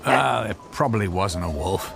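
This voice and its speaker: An actor playing an anxious, apologetic husband and inventor in a radio drama, stammering and hesitant. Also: male voice, low voice, animated, normal speed, vocal fry, very noisy, exaggerated voice. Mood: nervous, evasive. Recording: music bed behind dialogue.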